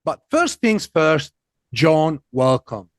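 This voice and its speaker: Higher-voiced male podcast host. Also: very animated, no room sound, studio quality, soft background music. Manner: deliberate, dramatic pacing in the intro. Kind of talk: scripted intro then interview questions.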